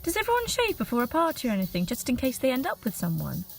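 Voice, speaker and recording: A woman's voice: high-pitched, huge pitch swings, quick pace, creaky, soft background music, heavily acted. A woman playing a candid, incredulous young woman in a naturalistic, chatty radio play, questioning and exclaiming.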